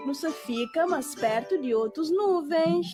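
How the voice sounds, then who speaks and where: A woman plays an encouraging cartoon schoolteacher for young children. Her voice is very high, with a Cape Verdean accent and an instructive manner, over clear background music. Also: animated, unhurried.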